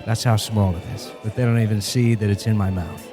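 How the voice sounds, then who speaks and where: Man acting a fast-talking comic radio huckster. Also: on the low side, steady tone, close to an everyday voice. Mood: salesman patter. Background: loud music.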